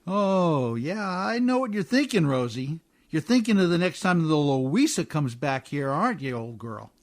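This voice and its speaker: Male actor as riverboat captain, high-pitched, folksy, scrappy, good-hearted, ungrammatical, old-time radio drama. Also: big pitch swings, normal speed. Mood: wary, reflective. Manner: folksy, ungrammatical working-man speech.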